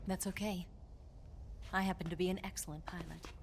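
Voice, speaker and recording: A woman's voice: fairly low pitch, flat delivery, a little fast, noisy background, close to an everyday voice. An actress playing a coolly confident, flirtatious female spy in a cinematic video game, teasing and probing as she talks. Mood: confident, businesslike. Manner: teasing, probing, self-assured. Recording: background music under dialogue.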